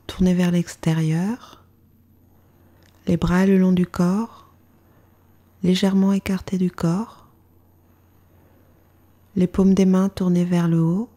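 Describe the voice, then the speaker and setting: Slow, mellow woman guiding meditation. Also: on the low side. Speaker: yoga nidra meditation instructor. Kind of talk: guided meditation narration.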